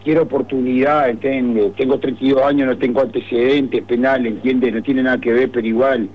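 A man's voice: medium pitch, steady tone, fairly quick. A Uruguayan man in his thirties speaks in a street interview. He pleads and keeps repeating his point. His voice comes through a dull, muffled radio feed. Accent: Uruguayan Spanish. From Uruguay, Cerro Largo. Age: 30s. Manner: pleading, insistent, repeats himself.

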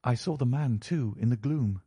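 Man voicing an earnest young lady, lifted pitch. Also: normal speed, warm tone, husky, no echo, natural voice. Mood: polite, candid. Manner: pleading, polite.

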